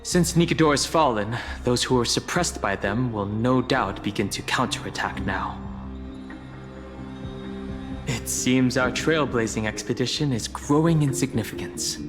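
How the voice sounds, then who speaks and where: A man playing a composed, thoughtful traveling companion in a video game, with a measured, analytical delivery. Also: medium pitch, animated, close to an everyday voice. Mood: calm, analytical. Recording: long reverb, faint music.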